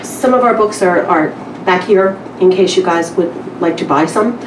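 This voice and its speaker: A woman with a lowish voice speaks at a literary event in a candid, conversational manner. She is picked up from a distance in an echoing room. Her delivery is wry and informal, like a live reading among acquaintances. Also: normal speed. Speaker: publisher introducing and reading a story at a literary event. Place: indoor live event room with small audience. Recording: roomy, distant mic with clear background noise.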